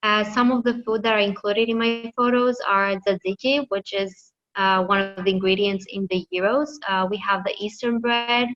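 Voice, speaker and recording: A woman giving a class presentation with slides, pausing often with fillers and restarting her sentences. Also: medium pitch, fairly even intonation, a bit bright, lo-fi sound. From Greece. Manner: hesitant, many fillers and restarts. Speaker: student presenter.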